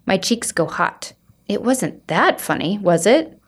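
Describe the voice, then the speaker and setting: A woman's voice: medium pitch, sing-song, slow pace. Woman expressively reading a children's story. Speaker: reader of a children's book. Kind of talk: expressive storybook read-aloud.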